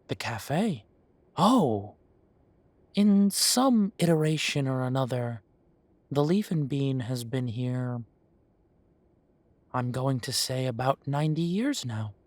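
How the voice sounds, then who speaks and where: A man playing a genial, long-lived elven café owner who reminisces in an unhurried, chatty way, in a roleplay audio drama. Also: mid-range voice, expressive, no room sound. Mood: genial, reminiscing. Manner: unhurried, musing, conversational with pauses.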